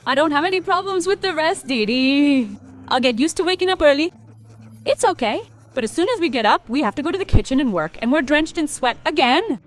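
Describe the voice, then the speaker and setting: A woman's voice: high voice, lively intonation, a little fast. A woman playing a weary, aggrieved young daughter-in-law in a cartoon family story. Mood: weary, resigned.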